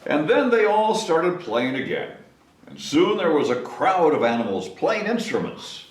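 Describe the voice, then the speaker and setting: Very animated man reading a storybook, roomy echo. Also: fairly high pitch, a little slow. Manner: expressive, chatty asides to young listeners. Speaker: storybook reader, city mayor. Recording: roomy echo, distant mic, faint noise.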